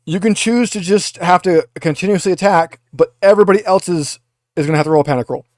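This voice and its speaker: Male game master, higher-set voice, instructional tabletop delivery, very dry recording. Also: fast, a little mellow, dry recording. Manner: instructional, guiding players step by step. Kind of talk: running a tabletop roleplaying game, explaining rules.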